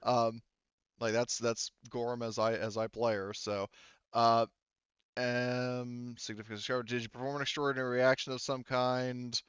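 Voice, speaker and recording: A man's voice: mid-range voice, little pitch movement, normal speed, a bit bright, smooth, no room sound, muffled recording. A man acting as game master in a casual tabletop game debrief, talking loosely and swearing freely.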